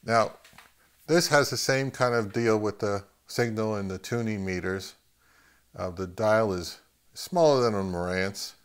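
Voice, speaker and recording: A middle-aged man giving a casual, unscripted gear demonstration, thinking aloud in halting phrases as he handles the equipment. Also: fairly low pitch, clear, resonant tone. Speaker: host of an audio gear review channel.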